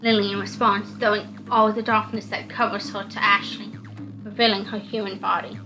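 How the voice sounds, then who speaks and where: A woman's voice: medium pitch, level tone, moderate pace, low-quality recording. A woman reading a visual novel aloud as narrator, in dramatic scripted narration. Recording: music under the voice.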